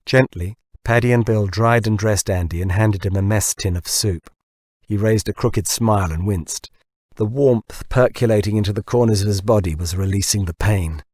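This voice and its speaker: A man's voice: on the low side, normal speed, a little mellow, no room sound. A man narrating scripted fiction as an audiobook reader.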